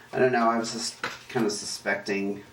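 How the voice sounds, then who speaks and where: A man hosting a tarot reading in a rambling, chatty way, his voice rough and hoarse as he recovers from illness. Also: fairly low pitch, a bit bright. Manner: chatty, rambling, self-correcting. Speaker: tarot reader and channel host. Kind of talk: casual tarot card reading to camera. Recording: roomy echo, distant mic, faint noise.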